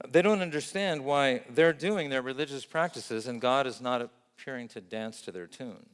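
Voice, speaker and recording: Man preaching in a reverberant hall. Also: medium pitch. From Vietnam. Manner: earnest, measured preaching with emphatic lists. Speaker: pastor. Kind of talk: sermon.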